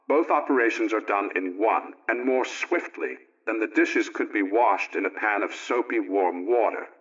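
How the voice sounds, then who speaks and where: A man playing an earnest, folksy middle-aged family man, reading out his letter in a deliberate, plain-spoken way for 1920s radio. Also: fairly low pitch, a bit bright. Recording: dull, lo-fi old radio recording.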